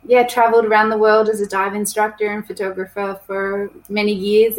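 A woman speaks as a podcast guest about conservation work, chatty and loose. She pauses often with ums and trips over a few words as she explains. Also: mid-range voice, rough recording. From New South Wales, Australia. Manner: chatty, with many ums and restarts. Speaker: conservation project coordinator. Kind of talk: casual podcast interview.

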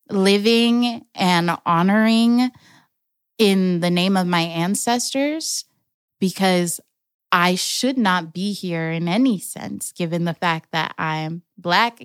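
A young adult woman from Los Angeles telling her family story in a slow, reflective way, with careful restarts. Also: on the low side, smooth, dry recording, studio quality. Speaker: storyteller, artist and organizer. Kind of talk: personal storytelling.

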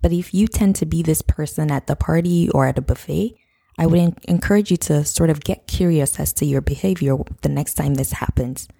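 A woman hosting a parenting podcast, talking straight to listeners while croaky from a cold. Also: deep voice, fairly quick, dark tone. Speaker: podcast host, nutrition counselor. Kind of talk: scripted podcast intro, then casual talk to listeners.

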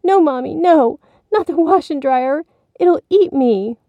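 A woman's voice: very high voice, expressive, normal speed, no echo, muffled recording, pitched above the performer's own voice. A woman voicing a timid but determined small boy in a storybook, with a childlike, pleading manner. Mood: scared, pleading.

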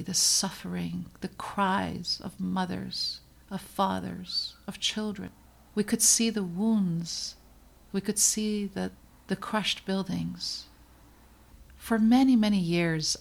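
A middle-aged woman from the Netherlands hosts her own podcast, speaking slowly and deliberately with a low-set voice. Her tone is earnest and reflective, and a gravelly fry runs through it as she lets pauses land. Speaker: podcast host, pediatrician. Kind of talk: scripted personal podcast narration.

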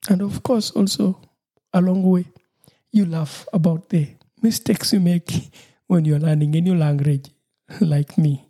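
A man's voice: high-pitched, fairly even intonation, measured pace, warm tone. A Ugandan man whose first language is Lusoga hosts his own podcast, talking cheerfully and laughing often as he repeats himself. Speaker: podcast host. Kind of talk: solo podcast monologue.

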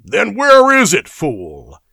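A man's voice: medium pitch, expressive, measured pace, fairly bright tone, dry recording, studio quality, voice pushed up. A man voicing a scornful, impatient male official who blusters and bullies in a fantasy audiobook. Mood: exasperated. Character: sneering, bullying, impatient male official who scoffs at superstition.

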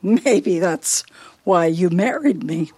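Casting: woman to play bossy older American wife in radio play, fussy and scolding, slightly raspy, lively pitch swings. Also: takes it slowly, heavily acted. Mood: pensive, hesitant.

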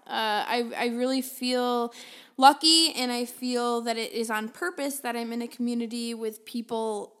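A woman hosting her own podcast alone in a small office, talking casually and upbeat into a desk microphone, with a loud voice that carries. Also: a little high. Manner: chatty, upbeat, laughs often, self-deprecating. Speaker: podcast host. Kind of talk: casual solo podcast chat. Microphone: desktop microphone.

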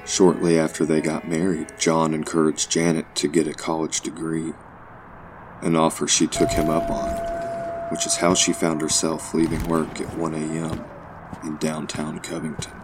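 A man's voice: very deep voice, completely flat delivery, moderate pace, background noise. A man narrating a scripted horror story in an audio play.

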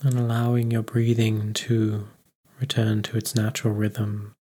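Husky low-voiced man guiding meditation. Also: little pitch movement. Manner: unhurried, gently instructive with pauses. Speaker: meditation guide. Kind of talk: guided meditation narration.

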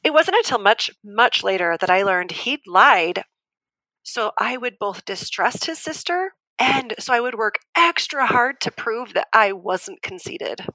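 A woman's voice: on the high side, animated, measured pace, sharp tone, dry recording, full character voice, rough recording. An actress playing an earnest, firm woman abuse survivor who explains patiently and articulately in an audio drama debate. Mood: grave, earnest. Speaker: debate guest.

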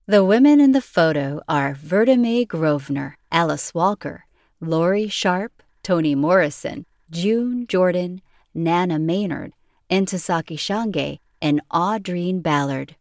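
A woman's voice: fairly low pitch, animated, takes it slowly, darkish tone, no echo, studio quality. A woman reading scripted narration as the narrator of a podcast. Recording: music behind the voice. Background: music.

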